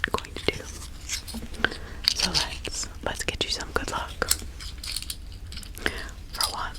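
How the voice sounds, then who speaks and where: A woman playing a kindly but indignant village witch healer in an ASMR roleplay, in a hushed, breathy, halting whisper. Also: mid-range voice, full character voice, low-quality recording. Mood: sympathetic, soothing.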